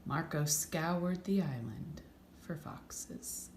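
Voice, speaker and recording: A woman reading a children's story aloud with expressive, dramatic narration and drawn-out emphasis. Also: deep voice. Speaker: storybook reader. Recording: echoey room, faint background noise.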